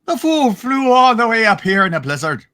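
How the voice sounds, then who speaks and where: A man playing excitable, grateful small-town folk in a hammed-up amateur Christmas radio play, speaking theatrically. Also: extremely high pitch, moderate pace, voice pushed up, heavily acted. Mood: excited.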